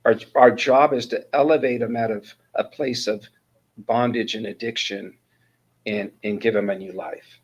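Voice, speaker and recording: A man's voice: fairly low pitch, fairly even intonation, normal speed, breathy edge, muffled recording. Earnest older man telling his life story. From Northern California, USA. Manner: earnest, storytelling, frequent restarts. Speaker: guest, ministry mentor coordinator. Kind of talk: casual interview talk, personal testimony.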